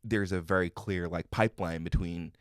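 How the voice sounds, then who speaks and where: A young man with a deep voice speaking in a formal, carefully enunciated way as a guest on a casual podcast. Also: steady tone, moderate pace, clear, resonant tone, no room sound, clean studio sound. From Minnesota, USA. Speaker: podcast guest, musician and producer.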